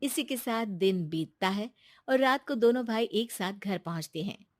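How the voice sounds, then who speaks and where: A woman's voice: medium pitch, animated, normal speed, dry recording, clean studio sound. A woman narrator reading a scripted cartoon moral story.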